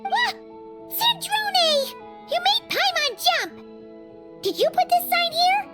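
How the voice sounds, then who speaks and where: A woman voicing a small, excitable, childlike fairy companion in an anime game, squeaky, chatty and exclamatory. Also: takes it slowly, over music, exaggerated voice. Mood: curious, startled. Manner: speaks of herself in third person, exclamatory.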